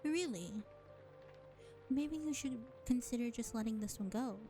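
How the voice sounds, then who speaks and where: A woman playing a sly, self-serving vampire bar owner in an audio roleplay, speaking in a sardonic, condescending drawl with deliberate pauses. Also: fairly high pitch, animated, mellow, faint music behind. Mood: exasperated teasing. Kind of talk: scripted audio roleplay acting addressed to listener.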